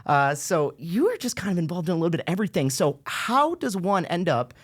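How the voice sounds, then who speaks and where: Quick-talking guy hosting a local show, kinda high voice, super enthusiastic. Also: very animated. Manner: enthusiastic, effusive, joking. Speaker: show host. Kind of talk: casual interview chat.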